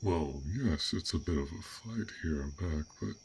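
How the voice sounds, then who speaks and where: Man acting a very deep, gravelly, gentle dragon. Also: lively intonation, a little hiss or hum, exaggerated voice. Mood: flustered, hesitant. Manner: hesitant, halting, formal old-fashioned wording. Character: fearsome but gentle, bashful, curious male dragon. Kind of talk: roleplay audio acting, intimate one-sided dialogue.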